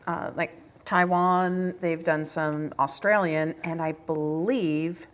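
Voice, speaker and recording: A woman's voice: low voice, fairly even intonation, slow pace. A woman from Canada, a whiskey educator, chatting casually and with a joking tone. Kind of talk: casual chat.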